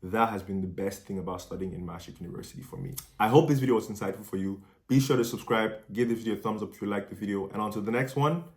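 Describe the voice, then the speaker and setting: Fast-talking young man, low voice. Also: darkish tone. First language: not Dutch. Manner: earnest, encouraging, direct address to viewers. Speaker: medical student vlogger. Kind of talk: casual vlog to camera.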